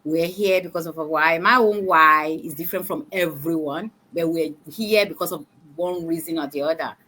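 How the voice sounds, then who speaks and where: A woman's voice: sits low, normal speed, bright, forceful tone. A woman on a live stream panel telling her own story in an earnest, hesitant way with many fillers. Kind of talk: personal testimony in a live stream.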